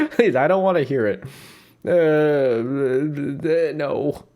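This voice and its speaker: A man's voice: on the high side, expressive, extremely slow, a little mellow. A man streaming, giving rambling, playful reaction commentary on a dating show and laughing often.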